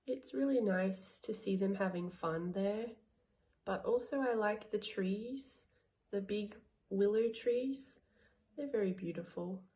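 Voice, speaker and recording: A woman answers interview questions in a slow, deliberate way. Her voice is soft and mellow, with no rush at all. She sounds like a language assistant talking about her life abroad. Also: mid-range voice. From Australia.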